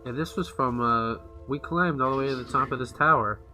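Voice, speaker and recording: A man's voice: mid-range voice, brisk, pushed and bright. A man giving casual, offhand, musing commentary while he plays a video game. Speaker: gamer commentating on his play. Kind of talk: casual let's play commentary. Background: game music.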